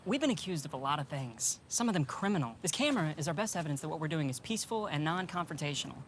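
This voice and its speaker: A man's voice: a little high, very animated, fast, noisy background. An actor playing an earnest, preachy young male protester in a film drama. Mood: earnest, unyielding. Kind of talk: film acting.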